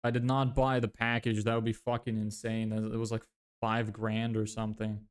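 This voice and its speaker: A man's voice: on the low side, completely flat delivery, moderate pace, clean tone. A man in his twenties, originally from Lithuania, chatting casually on a livestream, thinking aloud and often correcting himself as he answers viewer questions. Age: 20s. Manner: thinks aloud, self-correcting, frequent fillers. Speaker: livestreamer building a business.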